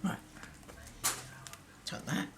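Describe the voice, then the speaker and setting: A woman with a croaky voice talking through a card-making tutorial, murmuring and often dropping to a whisper as she works. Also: fairly bright tone, a little hiss or hum. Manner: slow, half-murmured commentary while working, often dropping to a whisper. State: croaky voice from illness. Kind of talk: hands-on craft tutorial.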